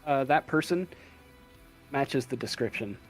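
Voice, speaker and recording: A man narrating a tabletop role-playing game as game master, describing scenes in halting pieces. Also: medium pitch, expressive. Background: music in the background.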